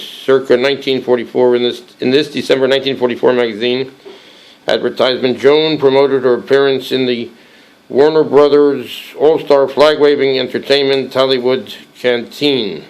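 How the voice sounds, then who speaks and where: A hoarse man with a cold hosting a casual show-and-tell, reading aloud from a book with many halting restarts and fillers. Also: medium pitch, rough recording. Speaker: collectibles channel host.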